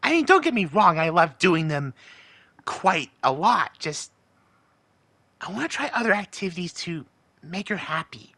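A man's voice: high-pitched, big pitch swings, normal speed, gravelly, long pauses, pushed and bright, no room sound, voice pushed up, exaggerated voice. Man voicing a nervous, lovestruck little imp. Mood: nervous, tender. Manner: anxious, hesitant, rambling. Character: nervous, lovestruck male imp husband, fussy and sweet. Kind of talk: in-character fan monologue in cartoon style.

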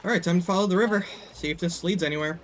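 A man's voice: high voice, rapid-fire, a little hiss or hum. A young American man streaming a game, chatting casually with friends in a laid-back way, murmuring asides as he plays. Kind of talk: casual gaming chat.

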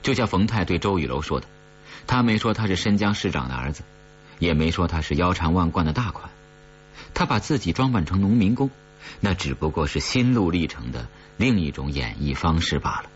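A man's voice: low-pitched, very animated, a little fast. A man narrating a story as an audiobook reader, in a dramatic scripted style. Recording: dull recording with faint hum.

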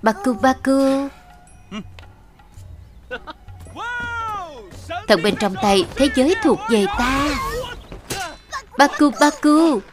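A woman voicing a brash, boastful and clingy sidekick in an animated fantasy dub, cheeky and insistent. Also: sits high, takes it slowly, voice pushed up. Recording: loud music under the voice.

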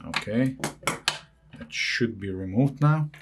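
A man hosting an electronics repair video, walking through a teardown at an unhurried pace and thinking aloud as he goes. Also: mid-range voice, animated, very noisy. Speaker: electronics repair channel host. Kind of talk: hands-on repair walkthrough.

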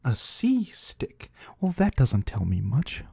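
Man playing a hushed, husky void-dwelling trader. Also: mid-range voice, wildly swooping pitch, no echo, studio quality, heavily acted. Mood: curious, pleased. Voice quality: husky, breathy, sometimes whispering. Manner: slow, hushed, gently curious. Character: mysterious, curious, courteous disembodied male trader of the void. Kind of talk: soft-spoken ASMR roleplay. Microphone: close, dry microphone.

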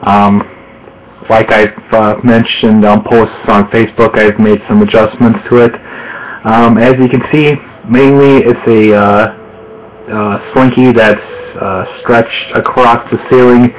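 A man narrating a casual handheld show-and-tell in a halting, rambling way, correcting himself as he goes. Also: fairly low pitch, level tone, lo-fi sound, slight background noise. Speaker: hobbyist video host. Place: small room at home, indoors. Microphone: handheld camera microphone.

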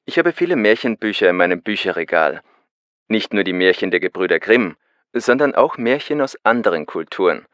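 A man's voice: on the low side, big pitch swings, brisk, a bit bright, no echo. A man playing an earnest radio caller in a scripted language-course dialogue. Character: man caller who loves books.

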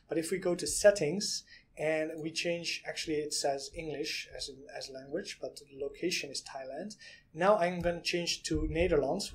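Man walking through software. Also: fairly high pitch, a little hiss or hum. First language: Dutch. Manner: explanatory, step-by-step, with frequent "uh" and "um". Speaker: product reviewer. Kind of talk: screen-share software walkthrough. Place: small indoor room.

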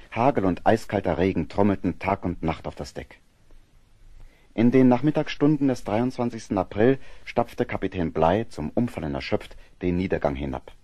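An actor as the sober narrator of a sea adventure radio play, giving measured, scripted storytelling. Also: male voice, low voice, mellow, breathy edge, no room sound, exaggerated voice.

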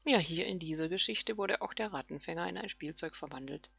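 A woman's voice: fairly low pitch, fairly quick, vocal fry, a bit bright, slightly rough, faint music behind. A woman hosting a let's play, thinking aloud casually and murmuring questions to herself as she plays.

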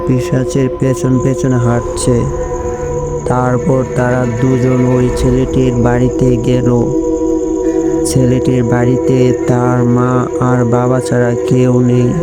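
A man reading scripted narration for an animated horror story. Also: medium pitch. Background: loud music.

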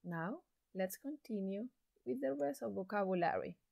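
A woman teaching an English lesson to secondary students, speaking slowly and deliberately with an encouraging classroom manner. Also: medium pitch, animated, creaky, dark tone, no echo, studio quality. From Chile. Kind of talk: slow recorded classroom lesson.

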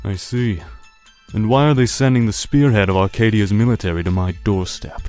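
A man's voice: on the low side, moderate pace, darkish tone, lightly acted. A man playing a stern, suspicious king in a dramatic comic dub. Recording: voice over music.

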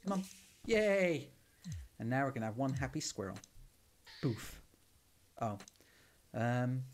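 A woman's voice: rumbling low, big pitch swings, takes it slowly, a little mellow, a little hiss or hum. A woman streamer giving playful, teasing commentary while playing a game. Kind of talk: casual playthrough commentary.

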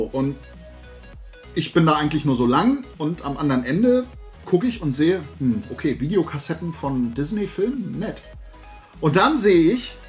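Casting: middle-aged male vlogger, fairly high voice, rambling enthusiast, music underneath. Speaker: YouTube host and collector. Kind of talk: casual haul vlog chat. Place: indoor room full of shelved toys.

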